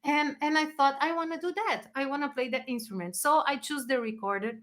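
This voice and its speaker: A Brazilian woman, a native Portuguese speaker, telling personal stories in non-native English in an expressive, casual interview manner. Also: high-pitched, brisk. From Brazil, raised partly in Chile. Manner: expressive, animated storyteller who acts out moments in non-native English. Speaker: musician and music teacher being interviewed.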